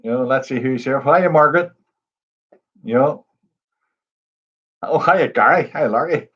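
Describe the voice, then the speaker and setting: Man, livestream pub quiz host, slightly husky voice, friendly chatter, dull lo-fi sound. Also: mid-range voice, measured pace, fairly bright tone. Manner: chatty, greets viewers by name. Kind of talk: casual livestream chat. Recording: dull lo-fi stream audio.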